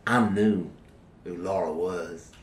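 Male actor doing a low-voiced, sneering, crude-talking convict; roomy echo, faint music under. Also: slow pace. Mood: storytelling, cold. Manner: coarse drawling slang, sneering. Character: defiant male convict serving life, bitter and crude. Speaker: prison inmate. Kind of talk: dramatic dialogue acting.

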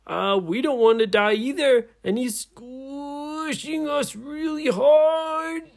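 A high-pitched, dim-witted pirate as a man storyteller puts him on pleads in a panicky wail. The words come drawn out and hesitant, in a broad children's-story style. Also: gravelly, exaggerated voice. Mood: dopey, strained. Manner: wailing, drawn-out cries with dopey hesitations.

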